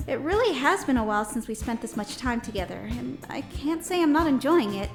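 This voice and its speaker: Very high-voiced earnest schoolgirl heroine actress. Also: lively intonation, fairly quick, faint music behind. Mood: hopeful. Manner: bright-hearted, wistful inner narration and dialogue. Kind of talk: visual novel audio drama acting.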